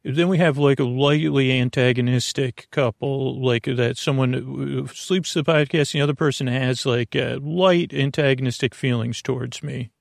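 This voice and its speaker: Creaky-voiced, rambling male podcast host. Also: mid-range voice, steady tone, moderate pace, hardly any pauses, no room sound, studio quality. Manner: meandering, hesitant, self-interrupting; whimsical, silly. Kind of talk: rambling bedtime podcast monologue.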